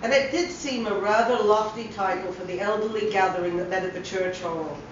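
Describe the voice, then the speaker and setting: A woman's voice: mid-range voice, fairly quick. A woman giving a dramatic reading of a short story as scripted narration. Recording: roomy echo, distant mic, dull hissy sound.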